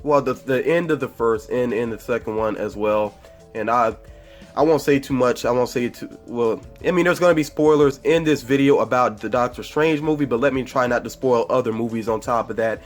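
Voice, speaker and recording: A man's voice: mid-range voice, fairly quick, soft background music. Congested man chatting through a movie review. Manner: rambling and chatty, with frequent fillers and self-corrections. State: congested from a cold. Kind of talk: casual spoiler movie review.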